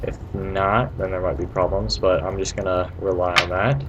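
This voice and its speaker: A man's voice: low-pitched, flat delivery, normal speed, dull audio. A man giving a casual, playful video game walkthrough in an informal, rambling way. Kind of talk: casual gameplay commentary. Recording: noisy amateur home recording.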